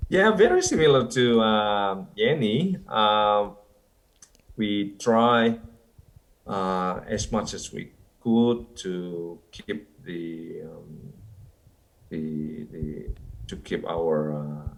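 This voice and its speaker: A man from North Sumatra, a podcast guest, who answers slowly with many pauses and fillers. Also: fairly low pitch, vocal fry. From North Sumatra, Indonesia. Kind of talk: casual interview answers.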